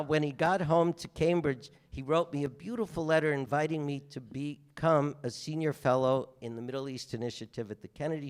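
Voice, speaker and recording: A man hosting a stage conversation, introducing his guest with an affectionate anecdote. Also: a little high. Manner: storytelling, affectionate. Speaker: host, museum director.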